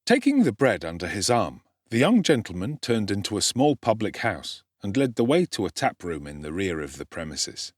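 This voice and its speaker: A man with an English accent giving a measured, articulate, expressive narration of a literary story. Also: lowish voice, no room sound, studio quality. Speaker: audiobook narrator, actor. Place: dry, close studio-like recording.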